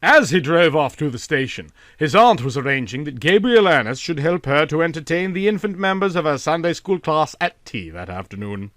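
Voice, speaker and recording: A man's voice: fairly high pitch, very animated, moderate pace, few pauses, fairly bright tone, no echo. A man reading a literary short story aloud as an audiobook narrator.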